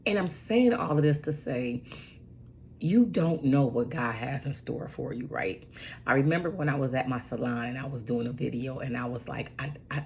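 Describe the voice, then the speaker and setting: A hoarse woman in her forties with a raspy voice, rambling through a casual story with lots of fillers and "right?" asides. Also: very deep voice, darkish tone, a little hiss or hum. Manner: rambling, goes off on tangents with many fillers; emphatic, keeps asking "right?". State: hoarse from bronchitis. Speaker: registered nurse.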